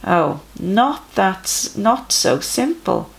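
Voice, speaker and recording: A woman's voice: medium pitch, big pitch swings, extremely slow, gravelly, full character voice, low-quality recording. Woman as an eager, gushing rich man. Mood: reluctant. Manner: gushing, over-keen. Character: eager rich man in a children's Bible story.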